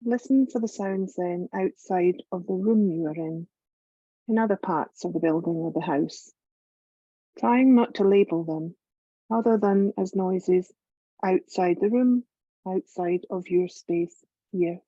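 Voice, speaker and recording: A woman leading a guided meditation as a yoga teacher, calm and measured, giving gentle instructions with long pauses. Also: on the low side, no echo, muffled recording.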